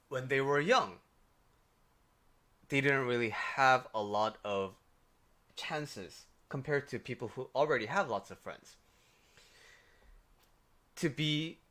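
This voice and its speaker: Man, Korean accent, life coach, livestream chat, mid pitch, loose conversational delivery. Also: bright, forceful tone. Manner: conversational, many fillers and "right?" tags. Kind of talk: casual livestream advice talk.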